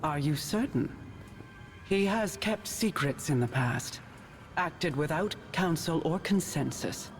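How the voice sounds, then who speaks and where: An actress playing a solemn, regal queen in a video game, speaking in a measured, formal way. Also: low-pitched, lively intonation, recorded from a distance, soft background music. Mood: grave, commanding. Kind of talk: dramatic video game acting.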